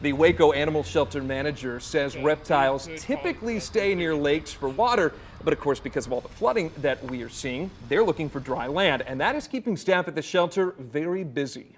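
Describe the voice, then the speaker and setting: A man's voice: fairly high pitch, expressive, brisk, few pauses. An American man working as a TV news anchor, moving between desk banter and reading the news.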